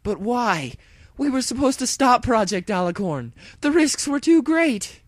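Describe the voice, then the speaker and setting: A man's voice: very high-pitched, very animated, measured pace, no room sound, voice pushed up, exaggerated voice. A man voicing a desperate, defiant female pony secret agent in a dramatic story reading, strained and pleading. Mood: anguished.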